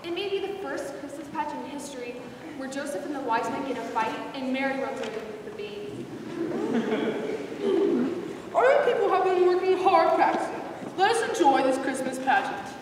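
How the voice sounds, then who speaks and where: A woman's voice: sits high, lively intonation, a little fast, pauses often. A woman in an amateur readers theater skit playing a harried, determined pageant director. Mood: weary. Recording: echoey hall from far off, noisy.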